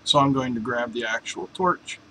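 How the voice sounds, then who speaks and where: A man's voice: mid-range voice, normal speed, bright, forceful tone, slight background noise, low-quality recording. A man in a home workshop explaining a welding torch swap in a casual, joking, folksy way. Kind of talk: casual workshop how-to narration.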